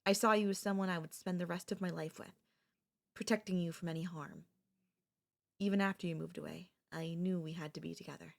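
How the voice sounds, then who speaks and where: An obsessive young woman who dotes on her captive childhood friend, acted out by a woman for an ASMR audio drama. Her voice sits a little low for a woman. She speaks softly and intimately, with a clingy, pleading, possessive devotion. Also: steady tone, fairly quick, gravelly. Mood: tender, confessional.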